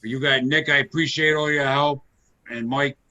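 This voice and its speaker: A man hosts a relaxed video call chat with a bright, clear voice. He talks in a chatty, encouraging way and often repeats his words as he goes. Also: mid-range voice, normal speed, highs cut off. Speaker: host.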